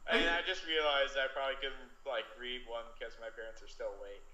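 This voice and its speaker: A man's voice: medium pitch, lively intonation, fast, highs cut off, a crowd in the background. A young adult man co-hosting, chatting casually and jokingly. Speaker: co-host. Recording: echoey room, background noise.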